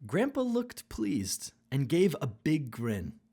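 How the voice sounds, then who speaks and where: A woman with a husky voice narrating a rhyming children's story expressively. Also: low-pitched, wildly swooping pitch, takes it slowly, mellow.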